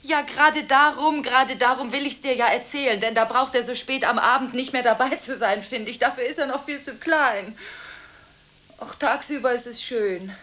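An actress playing a volatile, contradictory woman arguing through one side of frantic telephone calls in a radio drama. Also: normal speed. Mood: urgent, bargaining. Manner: abrupt swings between hushed confiding and shrill scolding.

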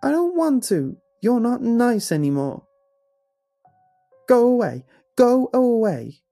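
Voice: medium pitch, animated, a little slow, creaky, warm tone, soft background music, voice pushed up. A man voicing a frightened, tearful young boy in a narrated horror story. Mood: upset, shrieking.

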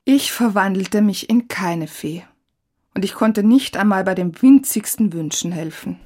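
A woman's voice: medium pitch, measured pace, mellow. A woman reading a reflective, scripted first-person narration.

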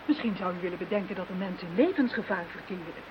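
A woman's voice: lowish voice, fast, lightly acted. An actress playing a composed, practical woman steadying her friends in a radio drama. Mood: composed, firm. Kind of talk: Dutch radio play acting. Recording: dull, clearly hissy radio play recording.

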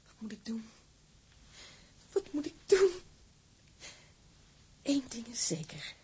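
A woman's voice: fairly high pitch, very animated, slow, darkish tone, slightly rough, exaggerated voice. An actress playing a passionate, lovestruck female newspaper reporter in a Dutch drama, emotional and intense. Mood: anguished. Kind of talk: Dutch broadcast drama acting.

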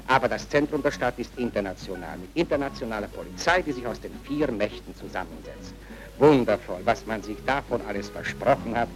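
A man's voice: medium pitch, lightly acted, low-quality recording. An actor playing a wry film narrator of post-war Vienna, chatting ironically as he tells the story. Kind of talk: conversational scripted film narration. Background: loud music.

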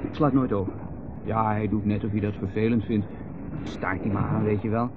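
A man's voice: lowish voice, fairly even intonation, a little fast, lightly acted. An actor playing a nervous, hesitant man who rambles and repeats himself, in 1970s radio drama style. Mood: troubled. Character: Joris, nervous chattering man visiting his estranged brother. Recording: dull, noisy 1970s radio recording.

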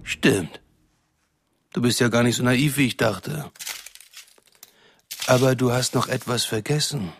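An actor playing a cruel, condescending shapeshifter villain in a radio drama, taunting and threatening in a smooth-talking way. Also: male voice, medium pitch, unhurried, long pauses, fairly bright tone, a little hiss or hum. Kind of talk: German radio drama acting.

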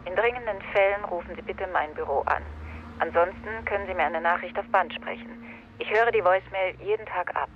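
A woman's voice: fairly low pitch, fairly quick, highs cut off, a little hiss or hum. An actress playing a composed professional woman who gives a formal, businesslike voicemail greeting in a radio drama.

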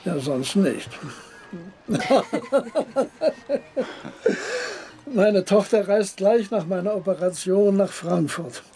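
Courteous older emigrant gentleman, high-pitched voice. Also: husky, full character voice. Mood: businesslike. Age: 60s. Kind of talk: radio drama acting.

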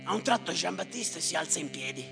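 An actor delivering a theatrical monologue as a haunted, embittered official, in terse, repetitive, incantatory phrases with a gravelly voice. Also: male voice, a little high, expressive, a little fast. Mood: grim, intense. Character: haunted, embittered male official narrating drownings at sea. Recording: reverberant theatre hall with live music.

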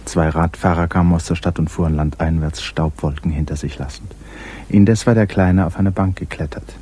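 A man narrating a literary radio drama in a measured, composed storytelling manner. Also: deep voice, fairly even intonation, dark tone, slight background noise, close to an everyday voice. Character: calm omniscient male narrator of a literary tale.

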